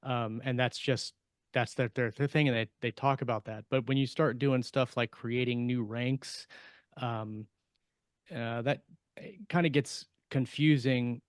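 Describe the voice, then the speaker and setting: A middle-aged man co-hosting a casual panel podcast as an author, explaining things haltingly into a close desk microphone at home. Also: mid-range voice, steady tone, smooth, no echo. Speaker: podcast co-host and science fiction author. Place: small home room lined with shelves. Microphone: close desk microphone with headset, on a video call.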